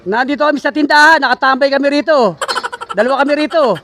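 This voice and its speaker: A man's voice: extremely high pitch, quick pace, pushed and bright. A man talking casually as if on a phone, playing a prank with a taunting, cocky manner. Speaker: prankster faking a phone call. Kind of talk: loud casual phone talk. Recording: very noisy outdoor street recording.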